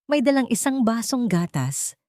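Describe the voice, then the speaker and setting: A woman's voice: a little high, very animated, moderate pace, warm tone, no room sound, clean studio sound. A woman narrating a scripted dramatic story as a storyteller.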